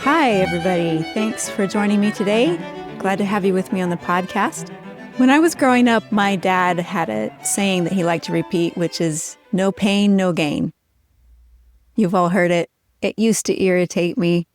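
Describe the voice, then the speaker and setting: A middle-aged woman hosting a podcast, explaining ideas in a clear, measured teaching tone. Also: mid-range voice, soft background music. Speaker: podcast host.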